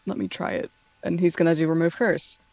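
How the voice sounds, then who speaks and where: A woman's voice: lowish voice, fairly even intonation, fairly quick, vocal fry, a little mellow, no echo. A woman playing a tabletop role-playing game, casually announcing her character's moves and chatting.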